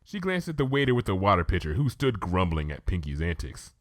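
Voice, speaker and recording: A man's voice: low-pitched, very animated, a little fast, dry recording, clean studio sound. A man reading a scripted story aloud as its narrator.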